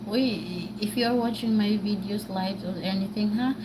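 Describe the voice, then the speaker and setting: A woman's voice: mid-range voice, moderate pace, noisy background. A woman vlogger with a Filipino Bisaya accent, talking live to her viewers in a rambling way, often stopping with filler words and restarting. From Philippines. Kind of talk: live-stream rant to viewers.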